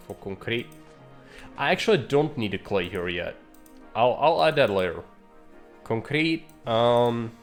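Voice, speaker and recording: A man streaming live, thinking aloud in dry, blunt remarks as he plans a city build. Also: lowish voice. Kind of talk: live gameplay commentary.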